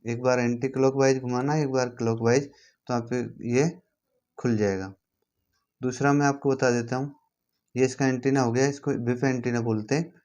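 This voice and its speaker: Even-toned Indian man explaining equipment. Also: mid-range voice, moderate pace. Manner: repetitive, checks in with the listener. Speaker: instructor demonstrating equipment. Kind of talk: informal hands-on tutorial explanation.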